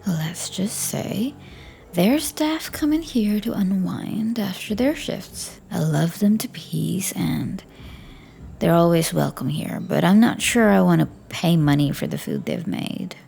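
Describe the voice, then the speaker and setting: A woman performing a scripted audio roleplay as a flirty, teasing bartender, speaking softly and often near a whisper. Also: lowish voice, animated, slow, creaky, slight background noise.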